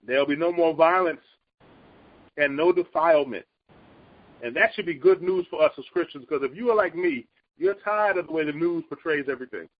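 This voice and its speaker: A man with a high, husky voice gives an earnest Bible teaching in a relaxed conversational way. He speeds up and lifts his pitch when he makes a point. The recording is muffled and lo-fi.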